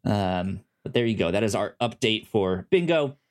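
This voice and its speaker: A podcast co-host chatting casually about TV in a halting way, often repeating words mid-sentence. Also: deep voice, expressive.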